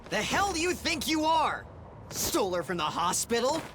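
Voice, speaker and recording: A man's voice: very high voice, normal speed, background noise. A man voicing a brash, loyal teenage boy in a video game, slangy and eager. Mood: defiant. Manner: casual, excitable, slangy. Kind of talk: anime-style video game voice acting. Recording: loud game music behind. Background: game soundtrack music.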